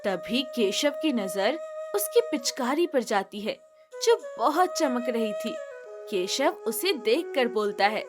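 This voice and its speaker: A woman's voice: on the high side, big pitch swings, slow pace, music in the background. A woman narrating a scripted moral story for children.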